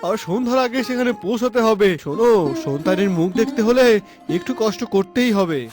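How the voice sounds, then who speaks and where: A man's voice: high-pitched, animated, fairly quick. A man voicing a weary, reproachful village husband in a cartoon, earnest and chiding. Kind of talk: cartoon voice acting. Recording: music behind the voice.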